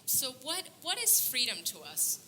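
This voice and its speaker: A woman's voice: sits high, huge pitch swings, a little slow, bright, forceful tone, a little hiss or hum. A young woman from Bulgaria giving an upbeat stage talk at a conference, earnest, laughing often, with many fillers and restarts. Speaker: conference speaker. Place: conference stage before an audience.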